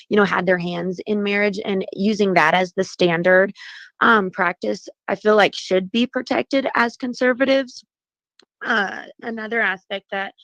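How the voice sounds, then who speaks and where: A woman caller speaks with a froggy, just-woken voice, stumbling through fillers and restarts. Her voice sits at a middle pitch. It comes through a dull, muffled phone line that sounds dry and close. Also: no echo. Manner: hesitant, many fillers and restarts. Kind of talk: call-in radio talk.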